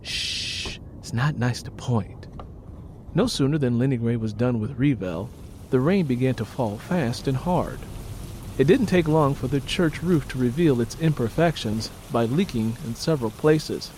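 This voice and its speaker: A man's voice: mid-range voice, lively intonation, normal speed, warm tone, slight background noise. A man reading a scripted story as an audiobook narrator, in a dramatic storytelling style.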